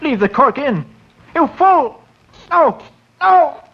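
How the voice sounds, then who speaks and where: An actor playing a feverish, greedy man, high-strung and urgent, in 1940s radio horror style. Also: big pitch swings, slow, noisy background, full character voice. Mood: frantic. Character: feverish, greedy, panicky male collector after a magic bottle. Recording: dull old radio recording, music behind.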